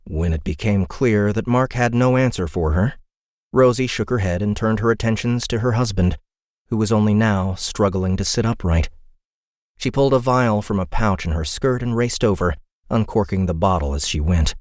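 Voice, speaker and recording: A man's voice: on the low side, moderate pace, a little mellow, no room sound, studio quality. A man reading dramatic scripted fantasy narration as an audiobook narrator.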